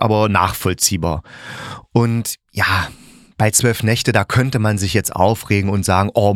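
A man's voice: lowish voice, no room sound, clean studio sound. A man hosting a solo podcast, reviewing in a chatty, colloquial way as if thinking aloud. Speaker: podcast host and radio drama maker.

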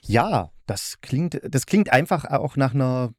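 A man's voice: mid-range voice, lively intonation, a little slow, darkish tone, dry recording, clean studio sound. A man interviewing in a casual, agreeable way, asking loosely phrased questions.

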